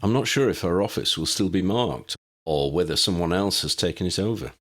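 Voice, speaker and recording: A man voicing a calm, practical young wizard who leads a team, in measured, matter-of-fact audiobook dialogue. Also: deep voice. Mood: watchful.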